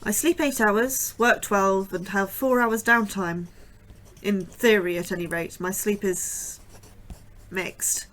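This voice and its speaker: A woman's voice: mid-range voice, takes it slowly. A woman as a wry, anxious young scientist. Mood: dry. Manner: wry, deadpan understatement. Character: anxious young female astrobiologist. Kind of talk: diary narration.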